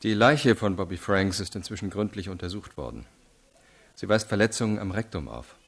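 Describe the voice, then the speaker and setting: A man's voice: deep voice, expressive, normal speed, gravelly, breathy edge, heavily acted. A man playing a hard police investigator in a radio crime drama, questioning tersely and with insinuation. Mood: cold, insinuating. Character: hard, probing male police investigator in 1920s Chicago. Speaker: police interrogator.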